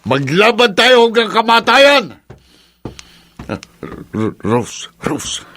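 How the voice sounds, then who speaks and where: A man's voice: high-pitched, big pitch swings, slow pace, bright, forceful tone, full character voice. A man playing a bold, defiant village captain who hunts vampires, acting dramatically in a horror radio drama. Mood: hushed, tense. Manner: dramatic, challenging.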